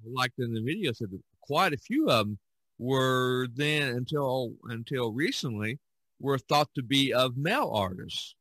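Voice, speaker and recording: A man in his sixties hosting a casual podcast conversation, speaking haltingly and often repeating phrases. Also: mid-range voice, expressive, a bit bright, no room sound. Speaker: podcast host.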